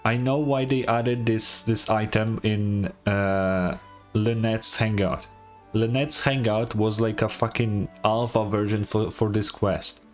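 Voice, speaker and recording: A man's voice: fairly low pitch, fairly even intonation, takes it slowly. A man doing casual live reaction commentary as a game streamer, blunt and sarcastic. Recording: game music behind the voice.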